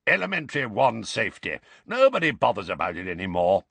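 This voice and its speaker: A man voicing a grizzled old male wizard veteran, gruff, suspicious and irritable, with a growling, barking delivery. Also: medium pitch, animated, moderate pace, sharp tone, dry recording, voice pushed up, full character voice. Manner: barking, commanding.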